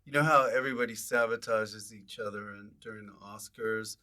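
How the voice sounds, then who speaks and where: A man's voice: fairly low pitch, steady tone, a little fast, bright, forceful tone. Man hosting an irreverent comedy chat. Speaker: podcast host.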